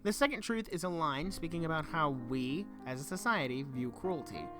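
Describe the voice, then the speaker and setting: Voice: mid-range voice, lively intonation, moderate pace. A young adult giving an earnest, reflective scripted narration for a video essay. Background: background music.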